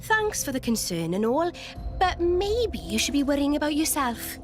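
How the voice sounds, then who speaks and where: A woman voicing a sassy, sharp-tongued furry-eared fighter in a video game, sardonic and blunt. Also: high voice, big pitch swings, moderate pace. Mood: mocking. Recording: loud music under dialogue.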